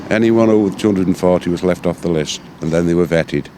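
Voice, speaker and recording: Deep-voiced older English man, plain-spoken. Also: a little fast, mellow, a little hiss or hum. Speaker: interviewee, local researcher and author. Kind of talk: interview recollection.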